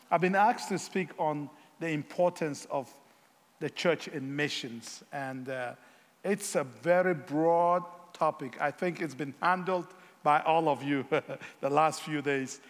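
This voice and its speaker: A man, a guest missionary speaker giving a sermon, pausing often with fillers and self-deprecating humor. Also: a little high, long reverb tail. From Africa. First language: Yoruba.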